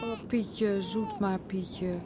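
A woman's voice: medium pitch, fairly even intonation, extremely slow. An actress playing an anxious, soothing mother in a Dutch radio play. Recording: noisy old radio play recording.

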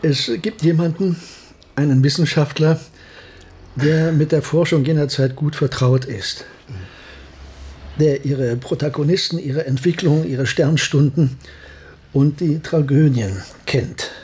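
An actor playing a scholarly professor who talks and narrates in a radio drama with measured phrasing. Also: male voice, a little high, mellow, lightly acted. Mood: earnest, persuasive. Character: scholarly male professor advising a military officer, also narrating.

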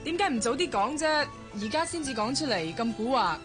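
An actress with a Hong Kong Cantonese accent playing an excitable, teasing, bashful young woman in a radio drama. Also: sits high, a little fast, music in the background.

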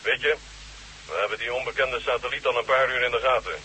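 An actor playing a jovial, shrewd Soviet marshal in a radio drama, with a husky voice and a bantering, courteous manner. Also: male voice, mid-range voice, fast talker, bright, forceful tone, a little hiss or hum, lightly acted, rough recording. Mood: brisk, businesslike.

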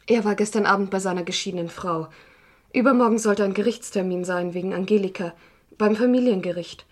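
An actress playing a composed, frank woman under police questioning in a crime radio play, measured and articulate. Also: mid-range voice, lightly acted. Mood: candid, troubled. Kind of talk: German crime radio drama acting.

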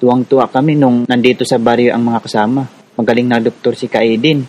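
A man's voice: mid-range voice, fairly even intonation, fairly quick, darkish tone, natural voice, low-quality recording. An actor playing an earnest young Filipino village activist who narrates his own story in a radio drama. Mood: earnest storytelling. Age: young adult. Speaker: narrator and lead character. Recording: music under the voice.

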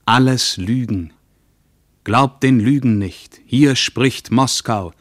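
An actor as a rough, guttural male propaganda announcer breaking into a wartime broadcast. He calls out slowly and forcefully, menacing, in a radio play. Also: medium pitch, no echo, studio quality, lightly acted.

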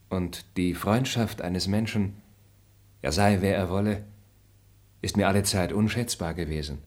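A man voicing a noble young traveller, calm, courteous, measured, radio play. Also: lowish voice, close to an everyday voice.

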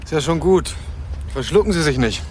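An actor playing a young student in a radio crime drama, speaking in a dry, laconic, probing way. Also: male voice, a little high, expressive, moderate pace, a little mellow, slight background noise, lightly acted. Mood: cheeky, persistent. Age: young adult. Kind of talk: 1980s radio crime drama, scripted narration and dialogue.

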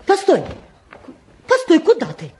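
A middle-aged housewife in an old-style radio play. She sounds high and animated, politely fussy, hesitant and evasive. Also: measured pace, slight background noise, exaggerated voice. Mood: flustered, evasive. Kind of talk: 1950s radio play acting.

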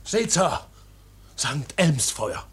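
A cheeky, fearful ship's mate with a somewhat high voice. He chatters on irreverently and excitably in radio drama style. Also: very slow, breathy edge, exaggerated voice. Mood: frightened. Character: cheeky, fearful, garrulous male second mate at sea. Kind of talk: German radio play acting.

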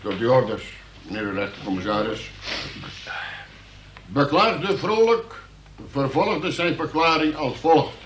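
An actor playing a dutiful police sergeant who formally and haltingly reads out a report in a radio play. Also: male voice, a little high, animated, a bit bright, slight background noise. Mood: officious. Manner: formal, officious, halting delivery of official report. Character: dutiful police sergeant reading out a suspect's confession. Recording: roomy, echoing radio play recording.

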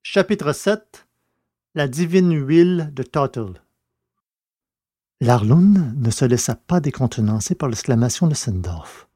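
Mellow male narrator, very dry sound. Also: mid-range voice, expressive, no room sound. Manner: measured, literary reading. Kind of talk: scripted story narration.

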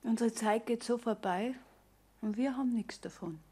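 A woman's voice: fairly high pitch, normal speed, dark tone, slightly rough. An actress playing a pleading young woman in a naturalistic radio play, intimate and pressing. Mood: wistful, reproachful. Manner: pleading, intimate, often dropping to a whisper. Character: pregnant young woman pressing her lover to marry.

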